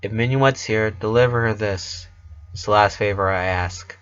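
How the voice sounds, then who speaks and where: A man's voice: on the low side, moderate pace, a bit bright, clean tone, no echo, muffled recording, lightly acted. Man reading as an earnest, defiant young pony heroine. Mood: solemn. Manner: earnest, resolute. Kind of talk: storybook character reading.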